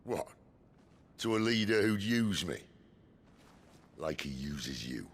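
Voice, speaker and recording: A man playing a weary, defiant rebel in a video game, a gravelly voice and a dry, sardonic manner. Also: deep voice, big pitch swings, takes it slowly, full character voice. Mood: defiant, scornful. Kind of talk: dramatic video game acting.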